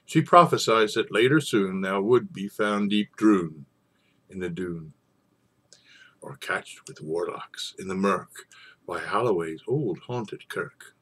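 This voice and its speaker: Slow, husky man telling tales. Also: sits low, fairly bright tone. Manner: theatrical, savouring phrases with pauses. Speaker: storyteller and poetry reader. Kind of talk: informal introduction, then dramatic poetry recitation.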